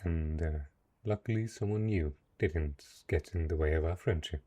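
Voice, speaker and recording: A man playing a lonely, gentle but possessive dark knight in an intimate fantasy audio roleplay, speaking softly and haltingly. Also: extremely low pitch, no echo. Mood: quietly possessive.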